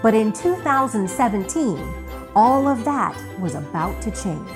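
A woman's voice: on the high side, sing-song, measured pace, over music. A woman reading scripted narration as the narrator of a radio retrospective.

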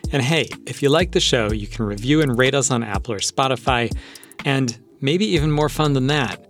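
A man's voice: mid-range voice, expressive, moderate pace, a bit bright, faint music behind. A man, hosting a radio show and reading scripted announcements. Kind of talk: scripted show sign-off and credits.

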